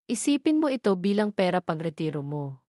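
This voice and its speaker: A woman's voice: on the low side, very animated, a little fast, dark tone, no room sound, studio quality. A woman voicing a cold, commanding schemer who gives terse, imperious orders in an audio drama. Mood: calculating.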